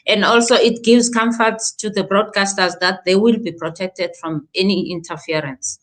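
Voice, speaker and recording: A woman from Africa, a regulator answering questions on a panel. She talks in a measured, hesitant way with many pauses and fillers. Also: medium pitch, steady tone. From South Africa.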